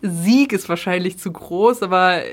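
A woman's voice: on the low side, a little slow. A woman hosting a podcast, asking questions in a chatty, informal and affirming way. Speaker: podcast host, interviewer. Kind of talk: casual conversational interview.

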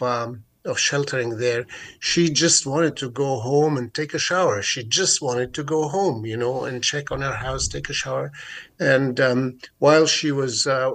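A mature man with a Palestinian Arabic accent, giving an unscripted account in a radio interview in a halting, deliberate way with many hesitations. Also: medium pitch, fairly bright tone. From Jerusalem, Palestine. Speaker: interview guest, advocate.

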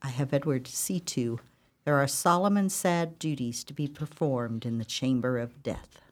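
A woman's voice: a bass voice, normal speed, darkish tone. A woman playing a stern, dutiful spinster sister in an old-fashioned gothic radio drama, solemn and formal in delivery. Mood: solemn, brisk.

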